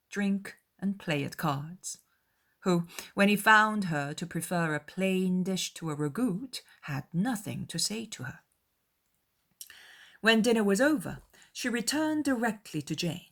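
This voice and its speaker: A woman narrates literary fiction with a voice pitched a little below average. Her delivery is measured and formal, that of a storyteller reading a scripted audiobook.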